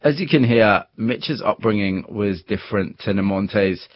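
Low-voiced male radio presenter, measured. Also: no room sound. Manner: measured, storytelling. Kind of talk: scripted documentary narration. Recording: dull muffled broadcast audio.

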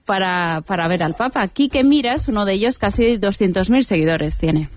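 A woman's voice: medium pitch, expressive, normal speed. A woman with an accent from Spain giving a light, conversational radio report as a correspondent.

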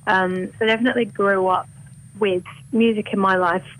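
A woman with a mild north Welsh accent softened toward English, a singer talking openly and chattily as a radio interview guest. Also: medium pitch, fairly even intonation, normal speed, low-quality recording. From Wales. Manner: chatty and open, laughs easily.

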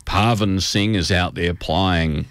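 Deep-voiced man hosting radio. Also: fairly even intonation, slow, no room sound, studio quality. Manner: rambling, conversational. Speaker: radio host. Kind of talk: casual on-air chat. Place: radio studio. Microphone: close studio microphone.

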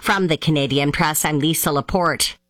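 A woman reading radio sports news in a crisp, measured way. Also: sits low, background noise. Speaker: news reader.

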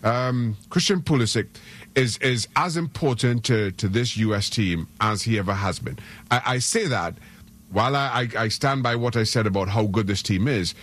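A man's voice: medium pitch, normal speed, a bit bright. A middle-aged man from Trinidad and Tobago, a soccer analyst on sports radio, speaking earnestly and often repeating words as he gathers his thoughts. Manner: earnest, frequent stammered repeats.

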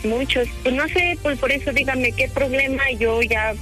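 A woman's voice: high-pitched, moderate pace, fairly bright tone, soft background music. A woman giving evasive, defensive, hesitant answers in a spontaneous phone conversation. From Hidalgo, Mexico.

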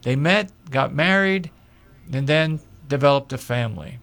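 Older male candidate, slow deliberate interview storyteller, mid-pitched voice. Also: expressive, a bit bright. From Pennsylvania, USA. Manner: slow, measured storytelling with filler pauses. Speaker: local candidate. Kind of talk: interview answers.

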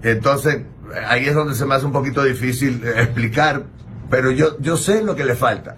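A man's voice: medium pitch, moderate pace, background noise. A man with a Puerto Rican accent, a guest chatting casually and rambling, with many fillers and restarts. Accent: Puerto Rican Spanish. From Puerto Rico. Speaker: interview guest. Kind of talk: casual chat.

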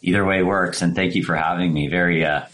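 A man's voice: deep voice, steady tone, a little fast, a bit bright. A man in his forties from Canada, a guest in an interview, speaking hesitantly with self-deprecating asides and frequent restarts. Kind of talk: interview conversation.

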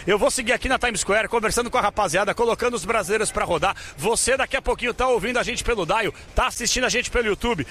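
A man's voice: high-pitched, a bit bright. A Brazilian man working as a radio sports reporter, chatting informally and energetically in slang on a busy street. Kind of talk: lively street interview and live broadcast chat. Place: busy city street, outdoors. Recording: outdoor street noise.

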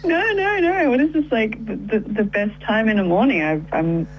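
A woman's voice: a little high, expressive, normal speed, a little hiss or hum. A woman from Taiwan, a musician, talking casually on the phone with many fillers and restarts. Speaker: musician guest. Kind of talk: casual interview chat. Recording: dull phone line.

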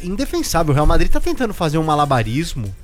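A Brazilian man hosts a casual sports debate in a fairly high voice. He speaks emphatically, repeating phrases to press his point. Faint music plays behind him. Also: huge pitch swings, quick pace. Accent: Brazilian Portuguese. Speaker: sports talk show host.

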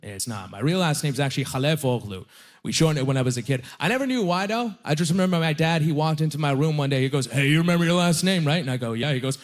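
A young man doing a stand-up comedy set on a club stage with a handheld mic. His first language is Aramaic, and he talks with loud energy, often shouting the punchlines. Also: a little high, fast talker. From Canada (Nova Scotia).

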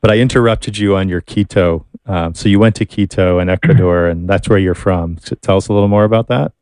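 A man's voice: low voice, a little fast, a little mellow, smooth, dry recording. A man hosting an interview, asking long, rambling questions. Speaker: host. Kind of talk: casual interview.

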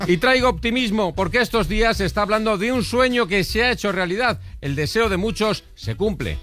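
A man's voice: high-pitched, expressive, a little fast, a bit bright. A man with an accent from Spain hosting a morning radio show, bantering playfully with exclamations and constant tag questions. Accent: Spanish (Spain). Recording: music bed under the voice. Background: background music.

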